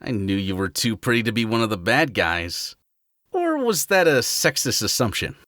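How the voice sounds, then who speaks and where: A woman playing a cocky, excitable young male hedgehog hero in a fan audio drama, with brash, playful, slangy delivery. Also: a bass voice, normal speed, no room sound, studio quality, full character voice.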